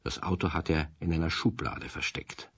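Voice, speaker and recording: A man's voice: very deep voice, moderate pace, background noise. A man as the narrator of a children's radio story, telling it expressively. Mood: wry storytelling. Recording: dull 1970s radio recording.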